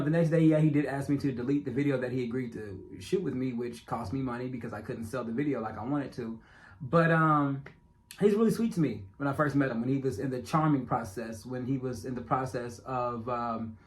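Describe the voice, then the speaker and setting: A man with a stutter and a noticeable speech impediment narrates his own documentary. He speaks in a loose, conversational way, addressing viewers directly. He repeats lines for emphasis. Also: medium pitch. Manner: repetitive, emphatic, talks straight to viewers. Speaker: self-made documentary narrator. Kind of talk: casual direct-address monologue.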